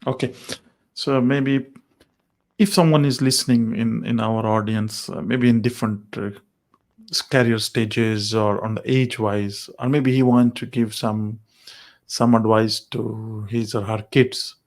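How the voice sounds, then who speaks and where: A man's voice: on the low side, fairly even intonation, a little slow. A man hosting a tech podcast, who backs the guest up with constant short "mm-hmm"s and asks long, rambling questions. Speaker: podcast host. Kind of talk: interview questions.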